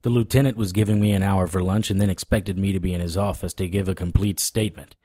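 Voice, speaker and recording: A man's voice: low-pitched, steady tone, fast talker, mellow, no room sound, studio quality. An actor playing a wry, sarcastic hard-boiled private investigator who narrates his own noir audio drama. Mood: wry narration. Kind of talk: noir radio drama with first-person narration.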